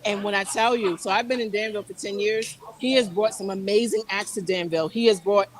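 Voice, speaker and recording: A woman's voice: mid-range voice, steady tone, brisk, low-quality recording. Emphatic woman co-hosting a podcast. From New Jersey. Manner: emphatic, repeats words for effect. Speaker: podcast co-host. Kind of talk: casual chat.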